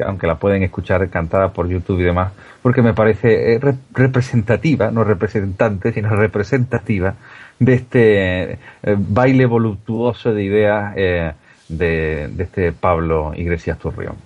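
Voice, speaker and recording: Man, very low voice, halting political chat, dull lo-fi sound. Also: darkish tone. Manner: hesitant, restarts with many fillers. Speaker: regular commentator. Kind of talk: casual political talk.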